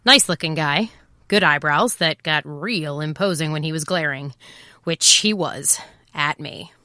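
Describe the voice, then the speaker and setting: Female private eye, lowish crisp forceful voice, dry close sound, sardonic, confiding noir narration. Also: unhurried, no room sound. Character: wry, impulsive female private eye, over twenty-seven. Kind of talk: first-person noir audio drama narration and dialogue.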